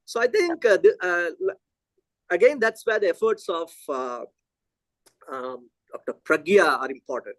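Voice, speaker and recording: Man, middle-aged, from India, Telugu native, high voice, dry close sound, animated, thinking aloud. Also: moderate pace, no echo, poor audio. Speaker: physics lecturer. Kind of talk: conversational lecture discussion.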